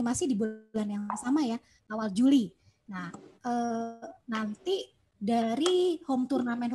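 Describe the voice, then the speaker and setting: A woman giving an online presentation for a chess school over a video call, explaining programmes with frequent pauses and fillers. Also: a little high, darkish tone. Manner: hesitant, many fillers, explanatory.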